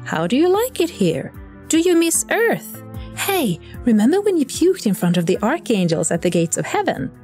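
A woman's voice: high-pitched, wildly swooping pitch, moderate pace, darkish tone, soft background music. A woman playing a socially awkward, flustered newcomer to Heaven, rambling anxiously in an audio drama monologue.

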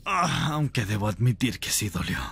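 A man voicing a chained rebel mage in an ASMR roleplay, intense, seductive and tender, with a breathy, gravelly voice. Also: lowish voice, very animated, moderate pace, fairly bright tone, exaggerated voice. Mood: warm, wry.

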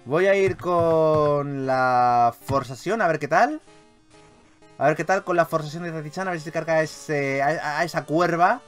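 A man with an accent from Spain giving casual, excitable gaming commentary, repeating his phrases and swearing freely. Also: a little high, unhurried, clean tone. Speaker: gaming YouTuber, host. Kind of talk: casual, excitable Pokémon let's play commentary. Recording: game music behind voice. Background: video game music.

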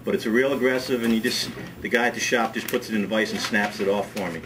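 Low-voiced man demonstrating a hands-on workshop task. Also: fast talker. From United States. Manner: relaxed, chatty, repeats words as he works. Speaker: ski school director. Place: wood-paneled workshop indoors. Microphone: camera mic on a workbench. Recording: camera audio with steady background noise.

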